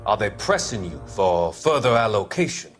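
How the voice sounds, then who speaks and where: Game drama: calculating physician-confidant, measured, patronizing, expressive pitch movement, faint music behind. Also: male voice. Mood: smooth persuasion. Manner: measured, formal, wordy and patronizing. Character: smooth, calculating male doctor, formal confidant and schemer. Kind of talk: video game dramatic acting.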